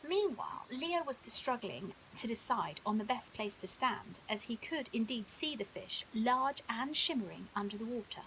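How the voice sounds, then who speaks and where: A woman reads a scripted children's bedtime story in an expressive, dramatic way. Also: on the high side, moderate pace, hardly any pauses, low-quality recording. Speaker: storyteller, primary school teacher. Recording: audible hiss.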